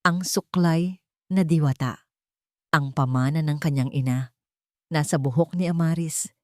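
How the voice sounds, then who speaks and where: A woman with a Filipino accent reading scripted romance fiction in a measured, dramatic storytelling manner. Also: deep voice, no room sound, studio quality. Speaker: story narrator.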